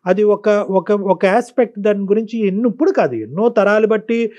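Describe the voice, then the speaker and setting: A man's voice: high voice, normal speed, a little mellow, lo-fi sound. A man counselling as a doctor, fatherly and emphatic, often repeating his phrases. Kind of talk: advisory counselling talk.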